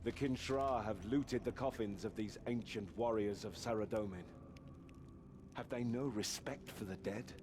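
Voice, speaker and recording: A man playing an earnest knight in a fantasy game, speaking in a serious, formal, measured way. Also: fairly low pitch, background noise, lightly acted. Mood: grim, indignant. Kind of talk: dramatic fantasy game voice acting.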